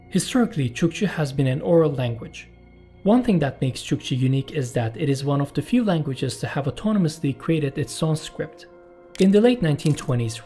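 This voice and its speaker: Man narrating, mellow voice. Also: on the high side. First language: Turkish. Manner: measured, explanatory, with personal asides. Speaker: channel host and narrator. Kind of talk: scripted documentary narration.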